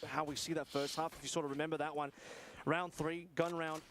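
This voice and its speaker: A man casting a professional esports match with rapid, steady analytical commentary. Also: a little high, slight background noise. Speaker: esports caster. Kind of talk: play-by-play commentary.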